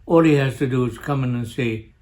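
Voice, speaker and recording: An older man with an Arabic accent telling a family story in a halting way, full of pauses and restarts. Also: mid-range voice, darkish tone. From Jordan. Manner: halting, many uhs and restarts, chuckles at own story. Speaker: family show guest, father. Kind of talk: storytelling, casual family chat.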